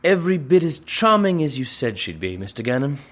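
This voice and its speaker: An actor playing a prim, butler-like gentleman with courtly, flowery and pompous manners in an audio drama. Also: mid-range voice, big pitch swings, a little fast. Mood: courteous, smug. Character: prim, butler-like gentleman posing as talent scout.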